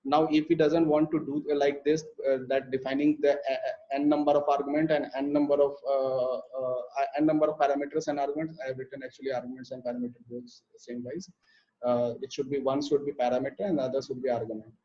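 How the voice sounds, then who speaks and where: A man's voice: medium pitch, flat delivery, quick pace. A young man teaching a live programming lesson, explaining step by step with frequent fillers and restarts. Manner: hesitant, many fillers and restarts while explaining code. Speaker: programming instructor. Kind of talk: live online coding lesson. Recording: muffled, lo-fi live stream audio.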